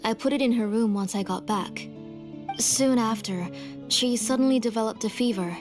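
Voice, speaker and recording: A woman's voice: a little high, moderate pace, close to an everyday voice. A woman voicing an anxious, devoted mother in a video game, earnest, humble and protective. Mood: apologetic, worried. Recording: prominent background music under the dialogue.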